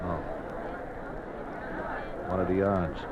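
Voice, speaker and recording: An actor playing a sincere, resigned American racetrack bookie in love, with quaintly formal gambler's slang, in 1950s radio drama style. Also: male voice, deep voice, fairly even intonation, slow, over a noisy crowd. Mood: wary. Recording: dull, noisy old radio recording.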